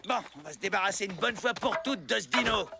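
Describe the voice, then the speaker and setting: Hostile cartoon hunter, gravelly edge, brusque, scornful, gruff, loud music underneath. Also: male voice, high-pitched, expressive, quick pace. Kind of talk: French animated cartoon dubbing.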